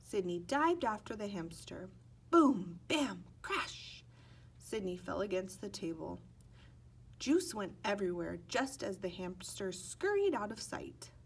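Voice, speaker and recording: A woman's voice: medium pitch, very animated, unhurried, vocal fry, breathy edge. A woman narrating a children's picture book expressively, stretching words and acting out sound effects. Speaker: storybook reader.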